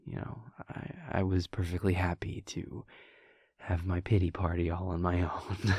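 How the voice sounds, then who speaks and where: A man playing an affectionate, wry vampire prince boyfriend in an intimate roleplay audio, talking in a casual, hesitant, soft-spoken way. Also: level tone, a little slow, no room sound, clean studio sound, full character voice. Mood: wistful, reflective. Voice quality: low, creaky. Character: playful male vampire prince, affectionate boyfriend, wryly reflective. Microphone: close, dry studio-style microphone.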